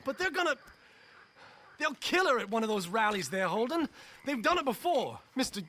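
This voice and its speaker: An actor playing a nervous, refined young gentleman in love, talking in an anxious, pleading way. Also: very high-pitched, sing-song, normal speed, full character voice. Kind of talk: video game cutscene acting.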